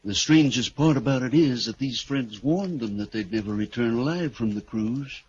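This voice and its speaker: A man plays a solemn house seller in an old radio mystery. He speaks in a middle register, halting and foreboding like a reluctant storyteller. The 1940s recording is dull and faintly noisy. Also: mid-range voice, darkish tone, natural voice. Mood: grave, ominous. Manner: measured, ominous, halting storyteller. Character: grave house seller telling an ominous story. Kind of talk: 1940s radio drama acting.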